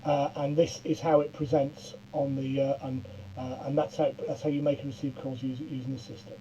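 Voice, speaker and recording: A middle-aged man giving an informal software demonstration in a halting way, often stammering and repeating words. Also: medium pitch, level tone, dull audio, slight background noise. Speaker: presenter of a software tutorial.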